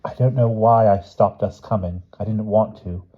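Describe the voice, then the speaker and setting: A man's voice: fairly low pitch, moderate pace, natural voice. A man reads as a proud, brooding storybook boy. He talks in firm, terse phrases, on a slightly muffled recording. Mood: regretful.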